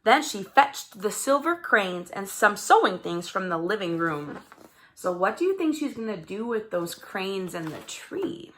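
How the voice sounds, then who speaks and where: A woman reading a children's story aloud in an expressive, dramatized way. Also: mid-range voice, measured pace. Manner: animated, dramatized delivery with character voices. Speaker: storybook reader.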